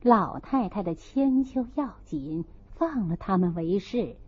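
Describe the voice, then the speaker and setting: A woman narrator voicing a calm, conciliatory older noble matron with a measured manner in a storybook reading. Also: mid-range voice, husky, dry recording, pitched below the performer's own voice. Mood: calm authority. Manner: measured, settling a quarrel. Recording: dull, lo-fi audio.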